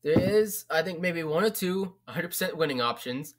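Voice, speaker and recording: A man's voice: fairly high pitch, expressive, normal speed. A young man, rambling casually through a book recommendation, with lots of fillers and the odd swear word. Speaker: vlogger.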